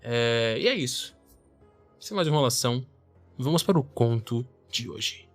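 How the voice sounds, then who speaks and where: A Brazilian man speaks as a podcast host in a casual, conversational way. His voice sits at a medium pitch, with some music playing underneath. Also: expressive, a little slow. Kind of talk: casual chatty podcast introduction.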